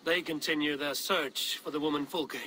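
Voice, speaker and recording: Casting: loyal, blunt Norse fighter in a game, sharp tone, challenging, slight ambient noise. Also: male voice, a little high, steady tone, moderate pace, low-quality recording. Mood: steady. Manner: direct, challenging. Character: blunt, skeptical Norse warrior, loyal brother.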